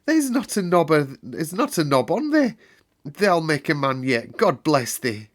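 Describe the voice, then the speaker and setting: A man voicing a shaken, tender old gardener in a storybook, hoarse and halting. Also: a little high, big pitch swings, voice pushed up. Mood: moved. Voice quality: hoarse, shaky, quavering. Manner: halting, rustic dialect. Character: astonished, tactless old gardener, tender underneath.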